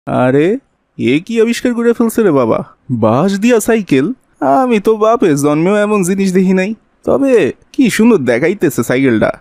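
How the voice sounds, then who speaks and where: A man's voice: sits high, sing-song, normal speed, darkish tone, no echo, clean studio sound. A man voicing a sly, greedy, scheming thief in a cartoon, with a colloquial Bangladeshi Bengali accent. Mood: amazed, scheming. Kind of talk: cartoon voice acting.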